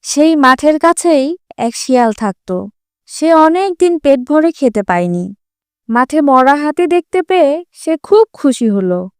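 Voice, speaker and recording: A woman's voice: high voice, lively intonation, a little slow, dry recording, faint music behind. A woman narrating a scripted children's fable as a storyteller.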